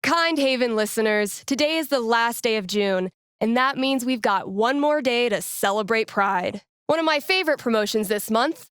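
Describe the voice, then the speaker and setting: A woman's voice: fairly high pitch, moderate pace, fairly bright tone, clean tone, no room sound, clean studio sound, natural voice. An actress playing a wry, playful young American woman in a video game, with casual radio DJ patter and a teasing way of talking. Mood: upbeat, wry.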